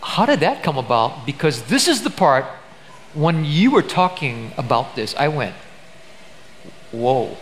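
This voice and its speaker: A man's voice: fairly high pitch, big pitch swings, a little slow, a little hiss or hum. An older man, a pastor, interviewing a guest on a church stage in an emphatic, conversational preaching manner.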